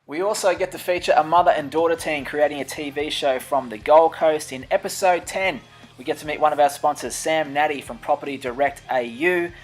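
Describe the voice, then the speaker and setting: Male host, upbeat announcer read, slightly higher voice. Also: a little fast, faint music behind. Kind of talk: recapping a season of interviews.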